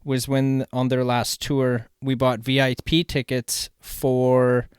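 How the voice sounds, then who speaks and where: Smooth-voiced Canadian man chatting excitedly. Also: medium pitch, takes it slowly, no echo, clean studio sound. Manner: excitable, rambling, slangy. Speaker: podcast host. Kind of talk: casual chat, storytelling.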